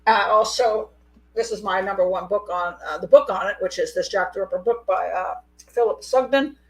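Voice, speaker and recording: A middle-aged woman hosting a live call-in show, chatty and quick to laugh. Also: mid-range voice, low-quality recording. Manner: chatty, laughs often, animated. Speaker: host. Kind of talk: casual live call-in chat.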